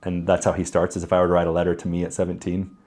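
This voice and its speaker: The low, raspy man in his thirties across the table in an interview, talking frankly through lessons from his own life. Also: completely flat delivery, racing, a little mellow. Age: 30s. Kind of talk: reflective conversational interview answers with anecdotes.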